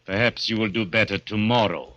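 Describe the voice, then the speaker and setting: An actor playing a huge, cruel, domineering vaudeville acrobat in a 1940s radio drama, cold and clipped. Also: male voice, fairly low pitch, a little fast, a bit bright, dry recording, dull audio, lightly acted. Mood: cold, menacing.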